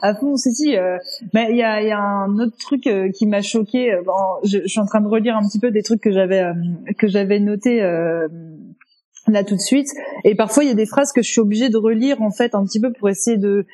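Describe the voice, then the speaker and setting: A woman's voice: mid-range voice, fairly quick, warm tone, poor audio. A woman co-hosting a podcast in casual chat about a book, loose and slangy, laughing easily. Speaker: podcast co-host.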